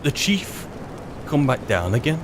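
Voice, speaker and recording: A man's voice: mid-range voice, big pitch swings, unhurried, noisy background. A man giving casual in-character roleplay commentary as he plays a game, in a wry, muttering manner. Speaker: gamer playing a character.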